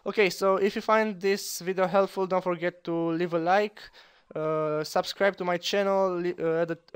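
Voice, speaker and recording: A young man with a Romanian accent hosting a software tutorial at his computer, talking haltingly with many fillers and restarts. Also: on the high side, clean tone. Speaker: tutorial host. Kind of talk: screen-recorded software tutorial.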